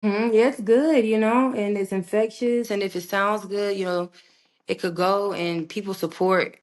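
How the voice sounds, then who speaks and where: A young woman recording artist in a casual interview, talking in a slangy, animated way with plenty of swearing. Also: mid-range voice, normal speed. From United States, raised partly in Puerto Rico.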